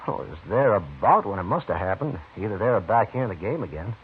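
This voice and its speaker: A man's voice: on the low side, sing-song, fast, slightly rough, heavily acted. An American man playing a shifty, evasive, defensive card gambler in a 1950s radio western. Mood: defensive, nervous. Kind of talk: 1950s radio drama acting. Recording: noisy, narrow-band 1950s radio recording.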